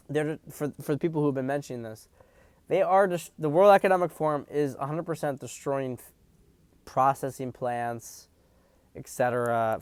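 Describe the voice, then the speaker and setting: A man's voice: medium pitch, a little mellow, smooth, no echo. A man hosting a political commentary stream, talking bluntly and emphatically, swearing freely and often restarting his sentences. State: hiccups. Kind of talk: profane livestream commentary.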